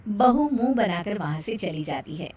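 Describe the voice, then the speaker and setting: A woman's voice: mid-range voice, expressive, moderate pace, darkish tone, husky. A woman narrator reading a scripted moral story for a children's cartoon.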